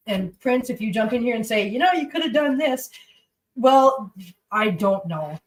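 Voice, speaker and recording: A woman's voice: a little high, animated, moderate pace. Congested lady chatting away while she cooks at home, sort of far from the mic. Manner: chatty, rambling, talks to her pets and viewers. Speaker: cooking livestream host. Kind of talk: casual chat while cooking. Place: home kitchen.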